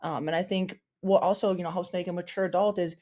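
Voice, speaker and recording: A woman's voice: on the low side, fairly even intonation, fast, warm tone. Rambling, chatty woman co-host. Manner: rambling, full of ums and restarts. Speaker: podcast co-host. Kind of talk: casual chat.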